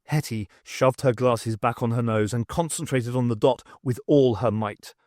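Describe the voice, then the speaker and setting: A man reading an audiobook story as scripted narration, in an expressive, dramatised manner. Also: medium pitch, normal speed, no room sound, clean studio sound. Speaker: audiobook reader. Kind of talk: dramatised reading with voices for characters.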